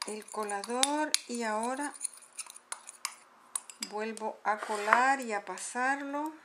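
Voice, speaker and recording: A middle-aged woman calmly explaining, step by step, how she mixes powders at a table at home, filmed by a camera above the table. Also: a little high, takes it slowly, very noisy. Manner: patient step-by-step explaining while mixing powders. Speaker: makeup tutorial host. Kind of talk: casual how-to demonstration. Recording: home video with clinking dishes. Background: clinking spoons and bowls.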